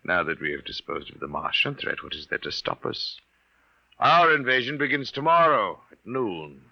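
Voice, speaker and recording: An actor playing a smug, menacing Venusian invasion leader in a 1950s radio drama, taunting and theatrical, on a dull old recording. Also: male voice, lowish voice, very animated, moderate pace, gravelly, heavily acted. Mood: gloating menace.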